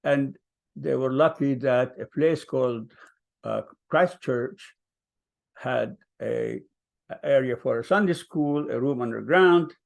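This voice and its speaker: An older man from Palestine, telling his family story at a vigil in a soft, hesitant way with many ums. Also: mid-range voice, slow, gravelly. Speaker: vigil speaker. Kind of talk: personal storytelling.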